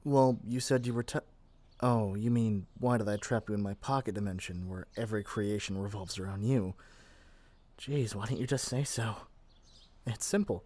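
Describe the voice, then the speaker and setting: A man's voice: on the low side, mellow, natural voice. A man playing a lovestruck, possessive young male witch in an ASMR roleplay, chatty and gushing with flustered hesitations. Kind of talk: ASMR roleplay addressed to the listener.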